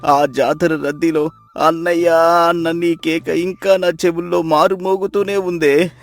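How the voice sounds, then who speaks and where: A man's voice: on the high side, flat delivery, unhurried, no echo. A man voicing a broken, half-mad beggar in a melodramatic moral story, pitiful, emotional and wailing. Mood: anguished. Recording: music behind the voice.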